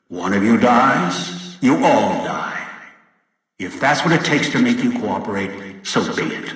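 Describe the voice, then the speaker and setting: A menacing old captor, booming echo. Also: male voice, a bit bright. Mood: threatening. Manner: commanding, deliberate, menacing. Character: cold, controlling old villain holding people captive. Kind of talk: video game voice acting. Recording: very echoey, distant, long reverb.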